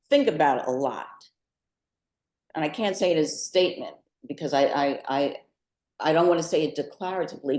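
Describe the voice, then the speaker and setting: An older woman with a low voice speaks slowly and thoughtfully, pausing mid-sentence as she reflects on her work. The recording is roomy and echoing. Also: darkish tone. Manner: measured, thoughtful, with deliberate pauses mid-sentence. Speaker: poet and artist being interviewed. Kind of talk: reflective talk about own creative work at a book event. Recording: roomy, echoing live event sound.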